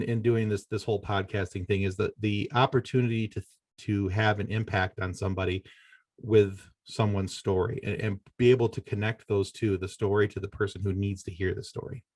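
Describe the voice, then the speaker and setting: A man's voice: lowish voice, steady tone, fairly quick, dry recording. A middle-aged American man from Michigan, a podcaster, giving earnest, rambling conversational answers as an interview guest. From Michigan, United States.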